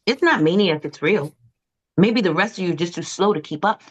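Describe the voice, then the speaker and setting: A woman's voice: fairly low pitch, expressive, fairly quick. A woman playing a manic, sleepless and bitter psychiatric patient in a dramatic, confrontational way at a script table read. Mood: defiant. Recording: slightly dull, lo-fi audio.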